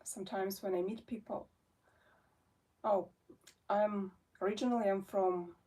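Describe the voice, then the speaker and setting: Slow, hesitant Russian-born woman in her forties. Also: mid-range voice, fairly even intonation, dark tone, husky. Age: 40s. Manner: hesitant, many fillers and restarts. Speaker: felting artist and channel host. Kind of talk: casual chat to camera. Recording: voice somewhat distant from the mic.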